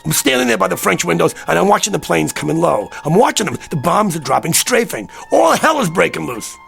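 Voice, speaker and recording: A man's voice: high voice, big pitch swings, a little fast, creaky, fairly bright tone, soft background music, exaggerated voice. An actor playing an agitated, desperate American man in a radio drama, pleading insistently in streetwise talk. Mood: troubled, insistent. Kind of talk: vintage radio drama acting.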